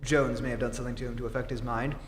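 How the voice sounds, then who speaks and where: A man's voice: mid-range voice, level tone, rapid-fire, lightly acted. Man acting earnest radio-serial hero in echoey hall. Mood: urgent, insistent. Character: earnest, determined adult male reporter who is secretly a superhero. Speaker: amateur theatre actor. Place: theatre stage before a live audience. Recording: echoey hall, live stage performance, noisy.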